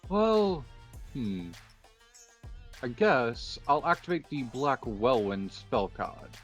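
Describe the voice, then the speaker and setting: Man playing a slow, measured duelist rival. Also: medium pitch, very animated, faint music behind. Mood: deliberate. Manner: deliberate, pausing between phrases. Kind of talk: improvised anime-style roleplay dialogue, game commentary.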